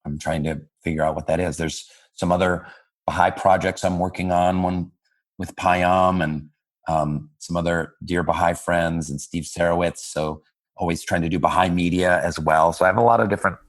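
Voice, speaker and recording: Deep-voiced man musing at length. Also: fairly even intonation, moderate pace. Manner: thoughtful, rambling, many fillers and restarts. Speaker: podcast host interviewing a guest. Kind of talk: reflective casual conversation.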